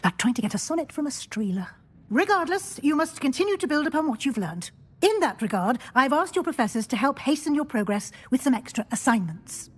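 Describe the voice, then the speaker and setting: An actress voicing a stern older female professor of magic in a video game, speaking in a crisp, wry, authoritative way. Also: high-pitched, very animated, a little fast, exaggerated voice. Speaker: deputy headmistress.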